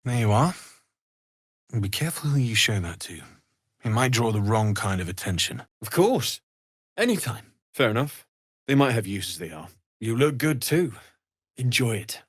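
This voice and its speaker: A man playing an earnest, kindly blacksmith in a video game, weary from surviving the hells, speaking with gentle, understated sincerity. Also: medium pitch, big pitch swings, normal speed, pauses often, breathy edge. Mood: friendly advice. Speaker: video game character actor. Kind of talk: acted video game dialogue.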